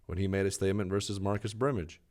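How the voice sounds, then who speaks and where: A man's voice: low voice, fairly even intonation, fast, a little mellow. A man co-hosting a podcast, chatting casually and explaining sports details. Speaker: podcast co-host.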